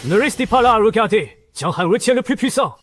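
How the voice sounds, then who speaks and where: A man playing a brash young street fighter in a dubbed animated series, swaggering and combative. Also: high voice, fairly quick, background noise. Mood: cocky glee.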